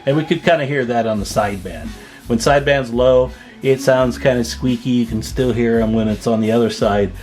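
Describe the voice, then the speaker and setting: A man's voice: mid-range voice, a little fast, darkish tone, soft background music. A man giving a casual, hands-on demonstration as a repair technician, informal and folksy, thinking aloud as he works indoors at a test bench. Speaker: radio repair technician and video host.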